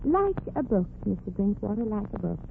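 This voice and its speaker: Sassy new showgirl, lively voice bouncing all over, tinny old radio sound. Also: mid-range voice, fairly quick, vocal fry, slight background noise. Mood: wry. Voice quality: brassy, slangy wisecracks. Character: wisecracking brassy young showgirl, streetwise and sarcastic. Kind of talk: 1940s radio comedy acting.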